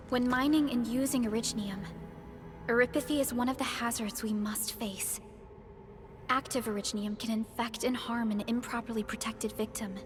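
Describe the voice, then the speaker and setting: An actress playing a composed, caring female medic in a video game, gentle and measured in manner. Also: fairly high pitch, close to an everyday voice. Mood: grave, explanatory. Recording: music behind.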